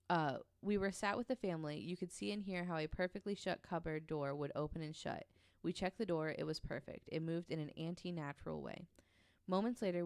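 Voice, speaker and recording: A woman's voice: sits low, creaky, dry recording, studio quality. A woman telling a true story casually on a podcast, reading quotes aloud in an informal, hesitant way.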